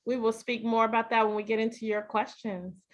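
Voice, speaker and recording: A woman moderating a panel on a video call, briskly and politely introducing each speaker. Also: a little high, little pitch movement, lo-fi sound.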